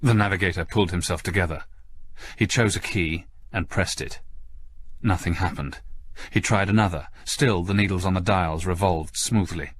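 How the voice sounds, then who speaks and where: A male audiobook narrator with a low voice reads scripted fiction. His delivery is that of dramatic storytelling. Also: normal speed, a little hiss or hum.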